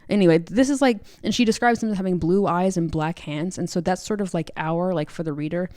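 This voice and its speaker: A woman's voice: on the low side, brisk, a little mellow, no room sound, studio quality. A woman co-hosting a book club podcast, recapping and discussing a story in a casual, conversational way.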